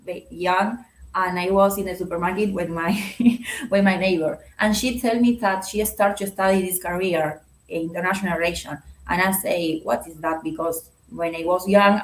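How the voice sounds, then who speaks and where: A young Argentine woman speaking halting, non-native English with a Spanish accent, answering interview questions on a video call and laughing often. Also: lowish voice, fairly bright tone, reverberant, poor audio. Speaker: guest speaker.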